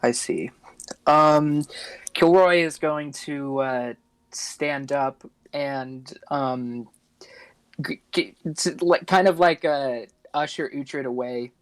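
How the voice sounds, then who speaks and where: A man's voice: mid-range voice, slow, smooth, dry recording. A man playing a tabletop game, hesitantly narrating what his character does and says. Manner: hesitant, piecing sentences together.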